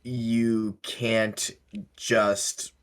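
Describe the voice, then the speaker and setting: A man hosting a podcast in casual discussion, speaking in a halting, measured way with careful qualifications. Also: lowish voice, little pitch movement, clear, resonant tone. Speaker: podcast host.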